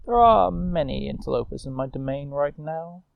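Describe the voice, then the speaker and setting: A man's voice: a little high, lively intonation, normal speed, creaky, phone-quality sound, a little hiss or hum. A man voicing an imperious, cold fairy queen of ice in a storytelling, with formal, commanding delivery.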